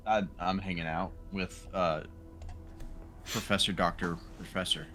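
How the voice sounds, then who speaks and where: Lowish voiced guy chatting during a tabletop game, music going in the background. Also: steady tone, a little slow, bright, forceful tone. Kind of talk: casual game-table chat.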